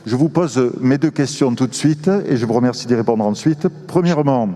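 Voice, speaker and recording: Guy moderating a forum, soft dark tone, formal n polite, big echoey hall sound. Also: medium pitch, brisk. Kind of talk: moderating a panel discussion.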